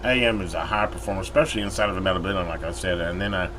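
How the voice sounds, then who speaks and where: A man's voice: low voice, very fast. An American man giving a casual, unscripted product review, recorded inside a metal building. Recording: clear steady background noise.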